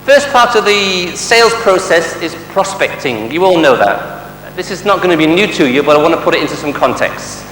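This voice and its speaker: A man's voice: high-pitched, bright, forceful tone. A man from Manchester with a Mancunian accent, a sales trainer giving a motivational seminar talk, quizzing the audience with rhetorical questions and jokes. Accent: Mancunian English. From Manchester, England. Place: large hall with a live audience. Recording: echoey hall with long reverb and faint hum.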